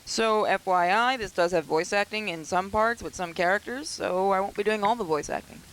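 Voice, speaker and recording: A let's play gamer with a slightly hoarse, under-the-weather voice, reading narration aloud with joking, self-mocking asides. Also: mid-range voice, normal speed, a bit bright, poor audio. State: slightly hoarse, getting sick. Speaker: gaming YouTuber.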